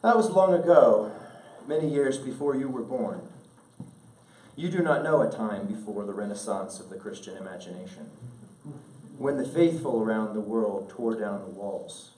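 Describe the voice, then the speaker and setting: A man reads a literary letter aloud in a measured, earnest, oratorical way, pausing deliberately, recorded at a distance in an echoing room. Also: on the low side, expressive, warm tone. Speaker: speaker reading a letter aloud at an event. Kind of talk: solemn literary letter read aloud to an audience. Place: indoor event room.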